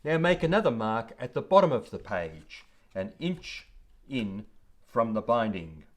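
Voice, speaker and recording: A man's voice: medium pitch, big pitch swings. A man voicing a stern, bullying, contemptuous male primary school teacher in a told story, with a commanding manner. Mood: instructing, formal. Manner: slow, commanding classroom instruction.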